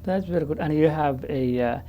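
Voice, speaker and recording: A woman hosting a community talk show, speaking haltingly with many fillers and restarts. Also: sits low, lo-fi sound, slight background noise. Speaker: host. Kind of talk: casual interview.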